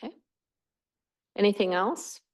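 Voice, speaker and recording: A woman chairing a village board meeting in a meeting room, brisk and upbeat as she moves the agenda along. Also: medium pitch, expressive.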